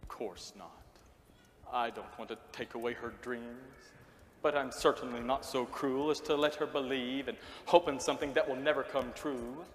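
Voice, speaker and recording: A man's voice: medium pitch, fairly even intonation, moderate pace, slight background noise, natural voice. A middle-aged man playing a stern, proud church rector in a stage play, speaking in a pompous, emphatic way. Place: theatre stage. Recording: echoey theatre, picked up live on stage.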